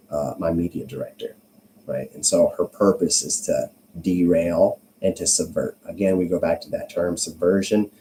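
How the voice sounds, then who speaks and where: A man giving an informal explanatory vlog monologue, speaking haltingly and punctuating his points with emphatic tag questions. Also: low voice, mellow, a little hiss or hum, rough recording. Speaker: vlogger.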